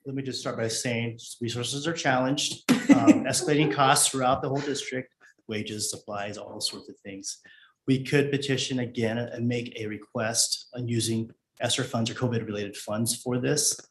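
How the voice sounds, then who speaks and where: A man speaking as a school district finance official at a public meeting, in a measured, formal administrative way with hesitant pauses. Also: mid-range voice, fairly even intonation. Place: indoor board meeting room. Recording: echoey meeting room with background noise.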